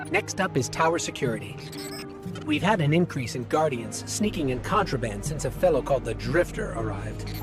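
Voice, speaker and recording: A man's voice: medium pitch, lightly acted. A man voicing a small, caring, earnest robot companion who guides the player in a video game. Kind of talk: video game dialogue and guiding narration. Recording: game music behind the voice.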